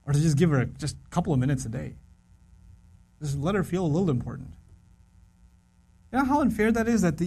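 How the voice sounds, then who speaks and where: A man's voice: fairly high pitch, dark tone, husky. A man giving an earnest, conversational religious talk to young people, often repeating phrases for emphasis. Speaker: Islamic speaker.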